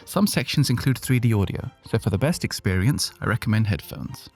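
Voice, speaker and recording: A man's voice: lowish voice, expressive, a little fast, a little mellow, faint music behind. A British man hosting an educational podcast, reading a script with playful, self-deprecating asides. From Britain. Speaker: podcast host.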